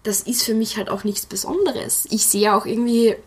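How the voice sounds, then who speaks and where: Young woman, talkative, quick to laugh; interview guest. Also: fairly high pitch, breathy edge. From Austria. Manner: chatty, laughs often. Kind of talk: casual interview talk.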